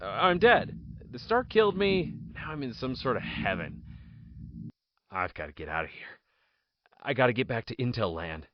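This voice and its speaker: An actor playing an anguished, imprisoned political outcast with strange powers, speaking in theatrical, solemn soliloquy that can break into frantic outbursts. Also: male voice, medium pitch, very animated, normal speed, long pauses, dry recording. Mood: astonished. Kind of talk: audio drama acting, soliloquy. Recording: dull lo-fi dry recording.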